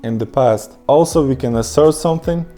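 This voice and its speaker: A man's voice: mid-range voice, normal speed, mellow, soft background music. A man whose first language is Russian, explaining his language-learning method to camera in an upbeat, instructive way. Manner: upbeat, instructive, emphatic lists. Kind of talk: scripted talk to camera.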